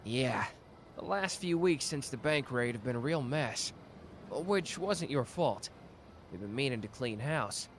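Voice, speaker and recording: A man playing a warm, protective young man greeting his partner in an ASMR roleplay. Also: mid-range voice, unhurried, slight background noise. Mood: warm relieved. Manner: warm, lively, laughs easily.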